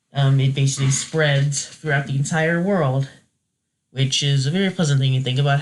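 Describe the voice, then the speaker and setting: A man's voice: fairly high pitch, fairly bright tone. A man hosting a casual, unscripted review, talking in a hesitant, rambling way and often correcting himself. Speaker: reviewer hosting a weekly video series.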